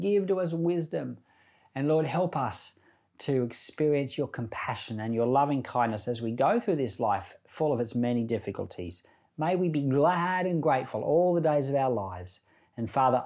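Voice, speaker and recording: A man's voice: medium pitch, a little mellow. A man who is a pastor and podcast host, giving informal, plain-spoken Bible teaching and prayer, explaining by paraphrase.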